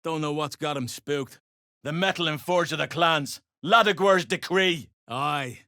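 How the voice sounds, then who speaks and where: An actor playing a gruff, greedy, foul-mouthed dwarf overseer in a video game, bossy and sarcastic in clipped phrases. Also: male voice, fairly high pitch, measured pace, bright, forceful tone, no echo, clean studio sound. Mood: irritated. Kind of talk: video game character acting.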